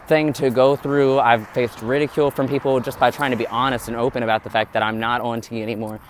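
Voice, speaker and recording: A man's voice: mid-range voice, a little hiss or hum. Earnest man vlogging to his viewers. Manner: earnest, open, addresses viewers directly. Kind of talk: candid vlog monologue to viewers.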